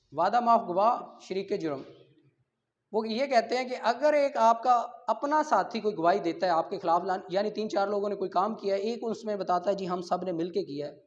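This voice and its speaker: A man's voice: high voice, a little mellow. A man with a Pakistani accent teaching law as a lecturer, explaining points didactically to students. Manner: didactic, checking students understand, mixing Urdu explanation with English legal terms. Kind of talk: classroom lecture, reading articles aloud then explaining. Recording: dull, lo-fi lecture recording.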